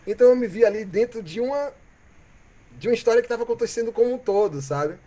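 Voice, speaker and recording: A Brazilian man from Bahia, a musician guest, telling stories in a reflective, animated way and often ending with "né?" or "sabe?". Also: very high-pitched, quick pace. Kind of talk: reflective conversational storytelling.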